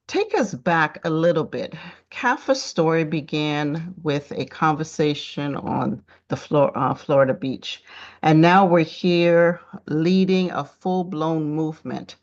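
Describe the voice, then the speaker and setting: A woman from the Caribbean interviews her guest in a relaxed, chatty way. Her voice sits very low for a woman. The sound is dull and lo-fi. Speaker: host.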